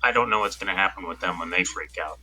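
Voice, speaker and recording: A man improvising in character in a tabletop role-playing game, explaining things in a hesitant, halting way. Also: sits low.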